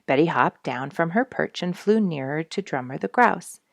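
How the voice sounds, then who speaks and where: A woman's voice: low voice, lively intonation, moderate pace, creaky, dry recording. A woman reading a children's storybook aloud expressively, speaking directly to young listeners. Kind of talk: animated storybook read-aloud.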